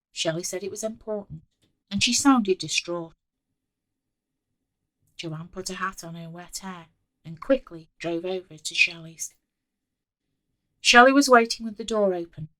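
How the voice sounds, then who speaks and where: A woman author reading her own story aloud in an expressive scripted narration. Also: lowish voice, a little slow, long pauses, no room sound. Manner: expressive, acts out the dialogue.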